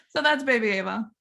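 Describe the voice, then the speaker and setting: A woman's voice: a little high, gravelly, pushed and bright. A woman, a learning designer, chatting with students in a bubbly, chatty way and laughing often. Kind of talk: casual class chat with students.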